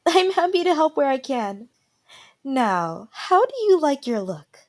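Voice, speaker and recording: A woman playing a calm, supportive royal attendant in a fantasy audio roleplay, giving gentle and earnest formal counsel. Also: sits high, a little slow. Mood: concerned, encouraging.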